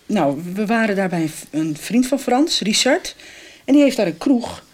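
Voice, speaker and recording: A woman's voice: mid-range voice, very animated, moderate pace. An actress playing a relieved, chatty woman visiting friends in a radio soap, a little hesitant. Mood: confiding.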